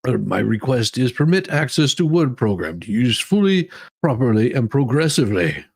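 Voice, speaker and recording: A man giving a mock-dramatic reading as a demanding woman inmate pleading her own case, with halting, emphatic delivery. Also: medium pitch, sing-song, vocal fry, a little mellow, husky, full character voice. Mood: earnest, demanding.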